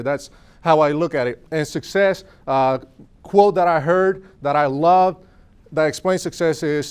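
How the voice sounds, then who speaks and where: Puerto Rican man, smooth motivational speaker. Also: fairly high pitch, a little mellow. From Puerto Rico. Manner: earnest storytelling, asks the audience questions. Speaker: speaker at a business networking event. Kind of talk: motivational talk to a live audience.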